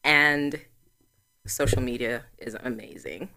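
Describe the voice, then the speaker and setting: A woman in her forties from Trinidad, a guest answering interview questions in a measured, explanatory way. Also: extremely low pitch, sharp tone, faint music behind. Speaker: guest, sorority chapter president.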